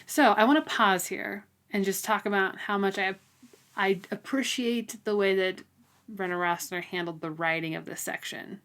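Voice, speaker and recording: A woman's voice: medium pitch, normal speed, a bit bright. A woman co-hosting a podcast, talking through a book in a casual, informal, conversational way. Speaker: podcast co-host.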